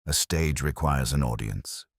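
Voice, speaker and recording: Man voicing a deep, deliberate, shrewd police inspector. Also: slightly rough, no room sound, studio quality, exaggerated voice. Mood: grave, deliberate. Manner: murmuring, deliberate, aphoristic. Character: shrewd, softly spoken male police inspector, cryptic and philosophical. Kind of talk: storybook mystery dialogue.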